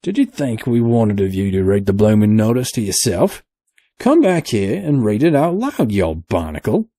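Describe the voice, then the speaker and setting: A man voicing a coarse, bullying male sailor who shouts his words. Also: a little high, animated, normal speed, dry recording, clean studio sound, pitched above the performer's own voice. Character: rough, loud-mouthed male sailor, bullying.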